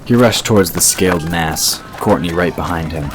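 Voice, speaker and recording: A man's voice: low-pitched, normal speed. A man reading scripted narration for a fantasy audio drama, over music and effects.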